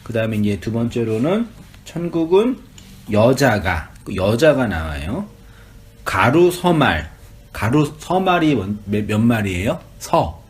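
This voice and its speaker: A man's voice: mid-range voice, big pitch swings, a little slow. Guy pastor running a bible study, chatty, asks lots of questions, voice swings up and down. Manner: chatty, asks the group questions, laughs easily. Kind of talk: casual Bible study teaching.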